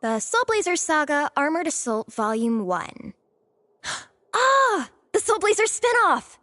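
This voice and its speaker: A woman voicing a small, feisty, excitable girlish companion in a video game, perky and dramatic. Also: high voice, slow, no echo, heavily acted. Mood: thrilled.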